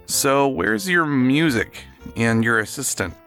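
A man's voice: mid-range voice, lively intonation, takes it slowly, vocal fry, pushed and bright, faint music behind, heavily acted. A man playing an affable, slightly fussy and flustered husband hosting guests in an audio drama. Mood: polite, flustered.

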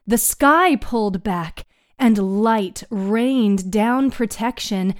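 A woman narrating a scripted story recap in a measured, dramatic storytelling manner. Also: medium pitch, no echo, studio quality.

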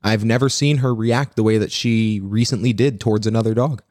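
Brisk male podcast co-host. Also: on the low side, fairly even intonation, a little mellow, dry recording, studio quality. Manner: brisk, agreeable, quick to affirm. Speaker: podcast co-host reading listener questions. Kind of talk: casual podcast chat.

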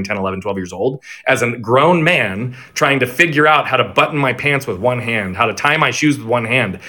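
Young man, podcast guest, rapid delivery, articulate, reflective storytelling. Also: medium pitch, bright, forceful tone. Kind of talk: conversational storytelling.

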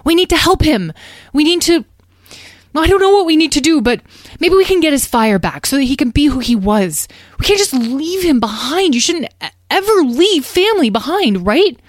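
A woman's voice: high-pitched, sing-song, normal speed, no room sound, studio quality, pitched above the performer's own voice. A woman voicing an earnest young male dragon, a loyal son in a storybook, hesitant and pleading.

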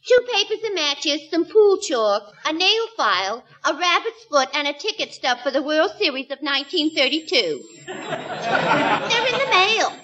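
High, bright lady clerk. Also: big pitch swings, a little slow, some chatter behind, exaggerated voice. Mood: prim, dutiful. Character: prim, literal-minded shop clerk reading out an inventory. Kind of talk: 1940s radio comedy acting. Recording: dull, noisy 1940s radio recording.

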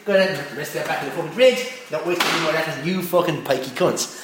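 A man's voice: a little high, very fast, background noise, pitched below the performer's own voice, close to an everyday voice. A man playing a crude, foul-mouthed Irish cook who rants and swears through a cooking parody, in a put-on Irish accent. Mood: grumbling rant. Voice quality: constant swearing, gruff rants. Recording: very echoey room.

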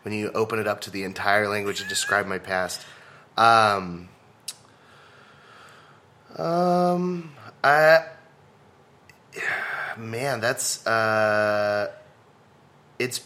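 A man from Texas, a comedian and speaker, chatting as a podcast guest with a loud, animated delivery and frequent laughter. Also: fairly low pitch, extremely slow, pauses often. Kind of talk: casual conversation.

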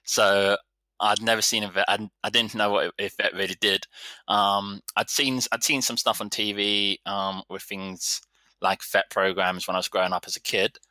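Guy in his 30s, easygoing chatty vet, lowish voice, bright and dry. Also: little pitch movement, normal speed, no echo. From London, England. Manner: easygoing, rambling storyteller. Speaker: vet surgeon guest. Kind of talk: casual interview chat.

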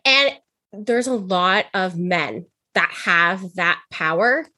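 A woman's voice: fairly low pitch, lively intonation, slow, pushed and bright, no echo. Outspoken young woman, close dry sound. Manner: outspoken, blunt, frequent swearing. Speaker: podcast guest, business owner. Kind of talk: candid casual interview chat.

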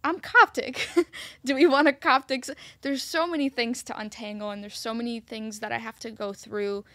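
A woman from Egypt co-hosting a casual talk show, speaking warmly but hesitantly, often restarting her sentences. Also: on the high side, fairly even intonation, normal speed, no room sound. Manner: warm, hesitant, self-interrupting. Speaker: co-host. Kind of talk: casual chat.

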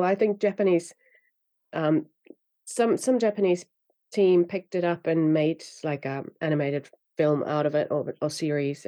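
Finnish woman with a low, mellow voice. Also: dry recording. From Finland. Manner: rambling, many fillers and restarts, laughs often. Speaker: author guest. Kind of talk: casual interview chat.

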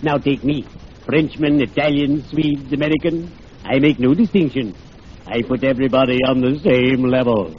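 Solemn, droll undertaker male actor, middle pitch, formal and ghoulishly genial, muffled vintage radio comedy. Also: normal speed, natural voice. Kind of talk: old-time radio situation comedy acting. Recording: dull, noisy vintage radio recording.

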